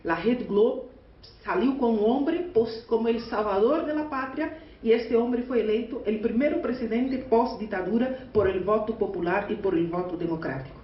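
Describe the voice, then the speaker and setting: Brazilian woman speaking halting Portuñol. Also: a little high. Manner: halting Spanish mixed with Portuguese, earnest. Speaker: union delegate. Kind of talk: conference speech. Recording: echoey, distant, muffled hall recording.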